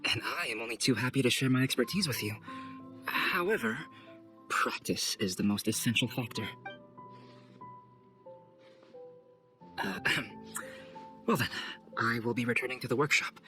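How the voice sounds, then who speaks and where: A man voicing a calm, reserved young artist and scholar in a video game, speaking in a measured, formal, composed way. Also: medium pitch, faint music behind, close to an everyday voice. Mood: courteous. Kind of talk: game cutscene voice acting.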